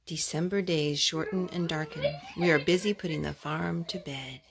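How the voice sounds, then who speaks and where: A woman's voice: sits low, fairly even intonation, moderate pace. Woman reading a picture book, noisy home recording. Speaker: storytime host reading to her children. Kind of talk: children's picture book read-aloud. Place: carpeted room at home. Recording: clear background noise and clicks, homemade.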